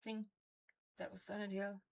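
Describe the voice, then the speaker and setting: A woman's voice: mid-range voice, flat delivery, moderate pace, gravelly. Rambling Norwegian woman streamer. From Norway. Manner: rambling and chatty, laughs often. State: has a toothache. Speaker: game streamer. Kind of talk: casual chat while streaming a game.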